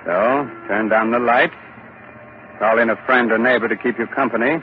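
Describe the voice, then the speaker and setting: A man's voice: medium pitch, fairly even intonation, lightly acted. Pria memerankan pemandu horor menyeramkan, rekaman radio tua sempit. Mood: ominous. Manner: theatrical, sinister, deliberate. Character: ghoulish, mock-courteous male horror host. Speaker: host and narrator of a horror show. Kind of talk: 1940s radio storytelling. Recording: muffled, noisy vintage radio recording.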